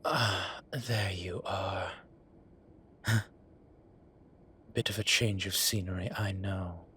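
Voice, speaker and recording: A man playing an ancient, bookish incubus demon professor in an audio roleplay, dry and exasperated, with halting, sighing delivery. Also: sits low, fairly even intonation, sharp tone, slight background noise, exaggerated voice. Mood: wry, weary. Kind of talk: audio roleplay acting, intimate one-sided dialogue.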